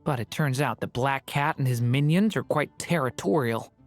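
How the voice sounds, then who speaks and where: A man voices a youthful former dragon in an anime game. He sounds mild and earnest, with medium pitch, over faint background music. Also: moderate pace, natural voice. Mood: earnest, sheepish.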